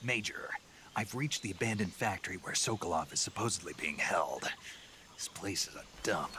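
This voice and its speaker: An American man playing a gruff, terse special forces soldier in a video game cutscene, gravelly and dry. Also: expressive, moderate pace, background noise. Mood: hushed, businesslike. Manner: terse, low-key, dry.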